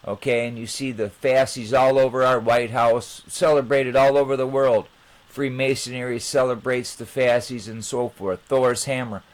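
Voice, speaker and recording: A man hosting his own channel, talking informally to viewers in a rambling, halting way. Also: mid-range voice, fairly even intonation. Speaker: channel host.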